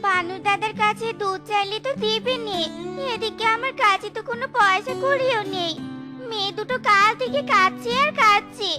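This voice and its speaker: A woman's voice: very high-pitched, moderate pace, over music. A woman voicing a poor, tender but resolute mother fox in a children's cartoon, pleading and folksy. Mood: desperate.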